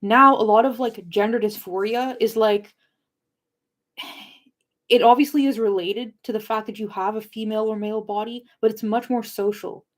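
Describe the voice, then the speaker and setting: A woman's voice: fairly high pitch, fairly even intonation, normal speed. A young woman chatting casually with live viewers, informal and rambling as she drifts between points. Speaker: livestream host of a book discussion.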